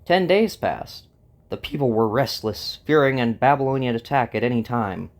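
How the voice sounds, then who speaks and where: A man's voice: medium pitch, expressive, normal speed. A man hosting and narrating a scripted dramatized story. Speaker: narrator and host.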